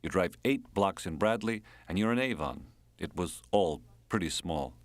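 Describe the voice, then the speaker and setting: A man's voice: low voice, animated, moderate pace, dry recording. A man reading an audiobook as an earthy, wry American man reminiscing, speaking in clipped colloquial phrases with an American accent. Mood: fond, plain.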